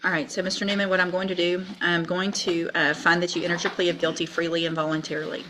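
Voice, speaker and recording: A woman's voice: on the low side, flat delivery, fast. A woman with an American accent speaking bluntly and sternly as a judge in formal courtroom speech, on a noisy courtroom recording. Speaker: judge presiding over a sentencing.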